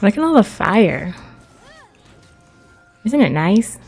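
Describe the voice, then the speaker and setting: A woman's voice: medium pitch, very animated, takes it slowly, creaky, breathy edge, soft background music. A woman livestreamer chatting casually while she plays a game. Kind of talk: casual game stream chat.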